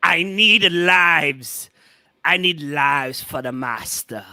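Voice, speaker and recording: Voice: mid-range voice, very slow, sharp tone, no room sound, clean studio sound, exaggerated voice. A performer reading a deranged, wheedling asylum patient in a theatrical gothic horror play. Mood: fervent obsession. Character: deranged asylum patient craving living creatures, wheedling and manic. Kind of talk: amateur script read-through of a gothic horror play.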